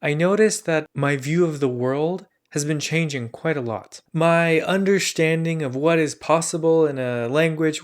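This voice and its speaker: A man who grew up partly in Bulgaria gives a calm, scripted, reflective narration about learning languages for his channel. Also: fairly high pitch.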